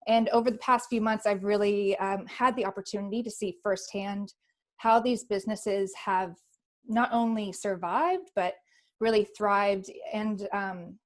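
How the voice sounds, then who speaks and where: Woman, thirties, Californian American accent, friendly, many um fillers, video call webinar. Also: mid-range voice. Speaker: panel speaker. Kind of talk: webinar self-introduction.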